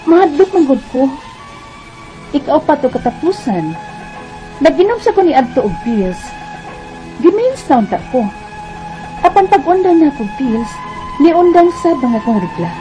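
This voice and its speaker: A woman's voice: sits high, mellow, poor audio. A woman acting a worried thirty-year-old who pleads plaintively for help in a radio drama monologue.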